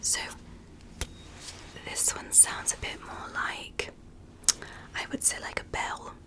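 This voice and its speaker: A woman whispering softly and breathily in a gentle, reassuring ASMR roleplay as a doctor giving an exam. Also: slow. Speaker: ASMR performer playing an ear doctor.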